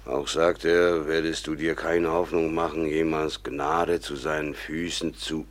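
Dry sceptical rogue, very low voice, unhurried pace, sardonic theatrical delivery, classical radio play. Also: male voice, flat delivery. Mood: sardonic reading.

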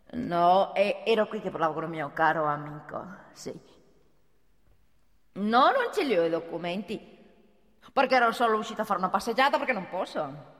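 An actress playing a brazen, sharp-tongued transgender street prostitute who cajoles and mocks in a theatrical radio monologue. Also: female voice, medium pitch, close to an everyday voice. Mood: pleading, wary. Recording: reverberant theatre hall, music behind.

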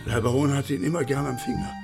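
An actor playing a baron's servant who answers hesitantly and deferentially in a radio play. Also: male voice, medium pitch, steady tone, quick pace, vocal fry, over music, lightly acted.

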